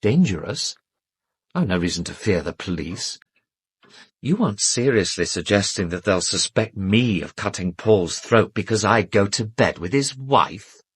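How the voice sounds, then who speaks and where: Educated English gentleman, lowish husky voice, weary, guarded, defensive, polite, wry, radio drama. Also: lively intonation, unhurried, no echo, exaggerated voice. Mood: wary, defensive. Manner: polite, guarded, wryly self-deprecating. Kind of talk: British radio drama acting.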